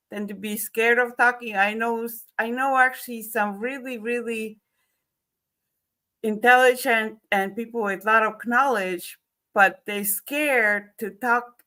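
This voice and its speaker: A woman's voice: on the high side, bright, forceful tone. A woman with an Estonian accent, a non-native English speaker, hosting an online interview with friendly, easygoing questions. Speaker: host.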